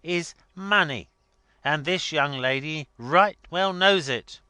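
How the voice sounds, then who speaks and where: A man acting a blustering, scornful older gentleman. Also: fairly high pitch, takes it slowly, clear, resonant tone, no echo, clean studio sound, pitched above the performer's own voice. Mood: ranting, mocking. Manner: emphatic, mocking, exclamatory. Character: blustering, scornful older rich man berating his secretary.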